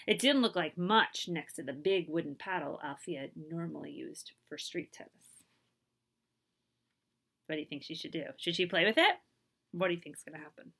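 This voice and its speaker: A woman in her thirties reading a children's picture book aloud expressively, pausing to put questions to her listeners. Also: deep voice, husky. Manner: animated, asks listeners questions. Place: small room indoors.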